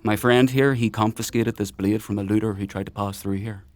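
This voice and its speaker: A low-pitched fantasy military commander, played by a man, who wraps menace in mock friendliness. He draws out his questions, needling and probing like someone who trusts nobody. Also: steady tone, fairly quick, a little mellow, close to an everyday voice. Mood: genial, probing. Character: menacing military paragon, falsely genial, probing and paranoid. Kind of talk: dark fantasy audio drama acting.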